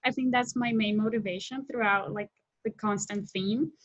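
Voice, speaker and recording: A woman's voice: medium pitch, steady tone, moderate pace, no room sound, dull audio. A woman from Colombia in her thirties, speaking as a guest on a video call, eager and talkative with many restarts and fillers. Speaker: guest, technology scout. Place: home room with window, indoors. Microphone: laptop webcam mic on video call.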